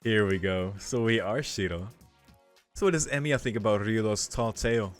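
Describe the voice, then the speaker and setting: Male game streamer, lowish voice, casual reading and commentary, soft music behind. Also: moderate pace.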